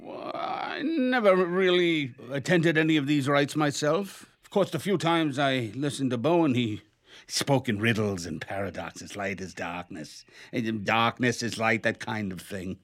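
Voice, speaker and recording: A man's voice: medium pitch, animated, a little slow, fairly bright tone. An actor playing an aging, crafty and haughty male lawyer in a radio drama, with pompous, theatrical phrasing. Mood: dismissive. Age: older. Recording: music bed behind the voice.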